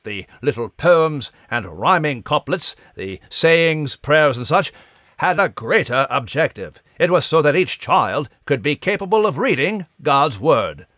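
A man's voice: a little high, a bit bright, dry recording, studio quality. A man voicing a scholarly, genteel mouse, delivering mock news commentary with some pomp. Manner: erudite, genteel, pompous. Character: proper, scholarly British male mouse newsreader.

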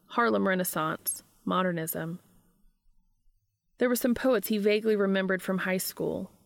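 A woman's voice: medium pitch, creaky, dry recording, clean studio sound. A woman reading a scripted story aloud as an audiobook-style narrator. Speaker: fan fiction narrator.

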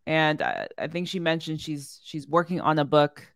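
A woman hosts a casual podcast in a smooth, clear voice recorded dry and close. She sounds friendly and upbeat, and her questions wander a little before they land. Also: sits low, normal speed, no echo. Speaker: podcast host.

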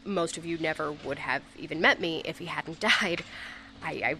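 A flustered young ex at a memorial, sharp bright voice, keeps stumbling over words, grieving, bit of noise behind. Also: female voice, deep voice. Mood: hesitant, awkward. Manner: halting, stammering, confessional. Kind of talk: audio drama monologue, naturalistic.

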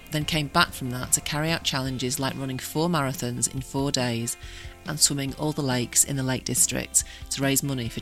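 A woman with a Manchester accent hosting a podcast interview, chatty and quick to laugh. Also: a bass voice, a bit bright. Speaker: podcast host.

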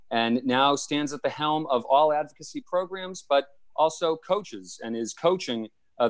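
Upbeat young man hosting a podcast. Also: mid-range voice. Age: young adult. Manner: upbeat, playful. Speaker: podcast host. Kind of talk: scripted podcast intro. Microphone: video call.

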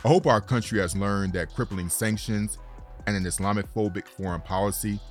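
Deep-voiced man narrating steadily. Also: steady tone, a little fast, soft background music. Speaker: podcast host. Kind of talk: scripted narration and casual reactions.

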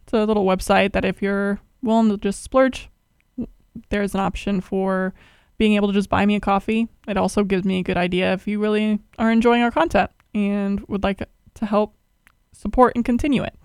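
A woman's voice: medium pitch, little pitch movement, moderate pace, darkish tone, smooth, no room sound, studio quality. A young woman hosting a podcast, asking questions in a friendly, rambling way with lots of fillers. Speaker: podcast host. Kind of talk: casual interview.

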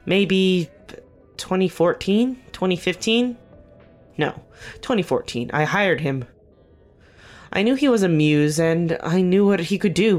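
An actor playing a weary, guarded veteran team leader in an audio drama, speaking earnestly and haltingly with dry wit. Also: medium pitch, close to an everyday voice. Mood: reflective. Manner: earnest, halting, self-correcting, dryly wry. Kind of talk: naturalistic audio drama dialogue.

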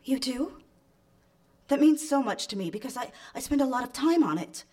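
An actress playing a timid, eager-to-please young woman smitten with her boss, speaking meekly and haltingly in a live radio drama. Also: sits high, lively intonation, darkish tone. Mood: shy, pleased. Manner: hesitant, meek, halting.

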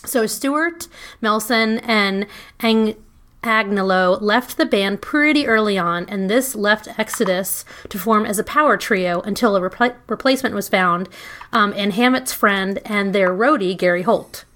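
A woman's voice: mid-range voice, measured pace, fairly bright tone. Brief: middle-aged woman, casual podcast co-host, chatty and loose, filler-heavy delivery. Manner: chatty, laughing asides, frequent ums. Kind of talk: casual podcast chat and band history.

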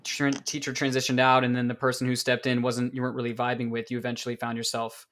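A man hosting a casual interview, asking questions in a relaxed, conversational way. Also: medium pitch, quick pace.

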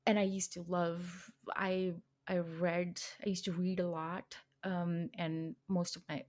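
A woman's voice: on the low side, little pitch movement, takes it slowly, a little mellow. Chatty Indian woman, podcast guest. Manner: chatty, laughs often, many fillers and restarts. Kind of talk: casual conversation.

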